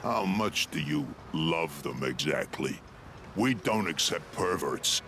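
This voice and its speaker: An actor playing a suspicious, moody alien club leader in a video game, speaking in a guarded, grave manner. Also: male voice, very deep voice, lively intonation, slow, fairly bright tone, a little hiss or hum, exaggerated voice. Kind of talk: video game cutscene acting.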